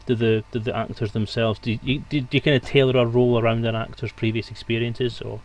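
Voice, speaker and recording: A man's voice: mid-range voice, flat delivery, fast. A man hosting a live stream, casually interviewing his guests.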